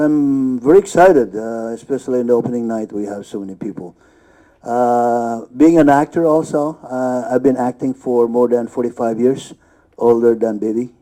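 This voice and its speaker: A man in his fifties tells stories on a festival panel in a mellow, warm voice. He talks haltingly, with lots of fillers, and chuckles often between thoughts. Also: mid-range voice, poor audio. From born in Hawaii, raised in the Philippines. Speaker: actor and festival co-founder. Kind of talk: panel discussion, casual storytelling.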